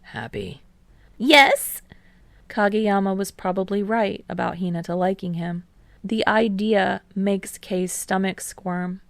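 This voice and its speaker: Unhurried woman narrator with slight creak. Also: medium pitch, steady tone, a little mellow, no echo. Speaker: fan fiction audiobook narrator. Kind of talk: scripted story narration.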